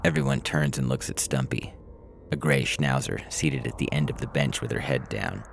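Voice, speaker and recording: A man narrating scripted fiction in a measured, dramatic storytelling manner. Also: rumbling low, vocal fry, slight background noise. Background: music.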